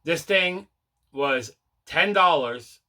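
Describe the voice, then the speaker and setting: A man with a bright, forceful voice presents a collecting show in a casual, chatty way. He sounds enthusiastic and circles back over his own phrases. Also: a little high, expressive, extremely slow. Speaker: host of a collecting video show. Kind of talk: casual unboxing vlog chat.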